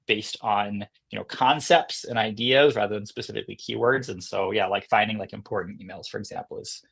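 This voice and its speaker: A man speaking as an interview guest, explaining and demonstrating software in a casual, conversational style. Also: on the low side, a little fast, gravelly, husky. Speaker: podcast guest, company co-founder and CEO.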